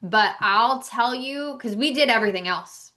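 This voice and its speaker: A woman's voice: a little high, normal speed, pushed and bright. A woman co-hosting a travel podcast, talking in a chatty, informal way and giving her opinions as she goes. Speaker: podcast co-host. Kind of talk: casual podcast chat about travel.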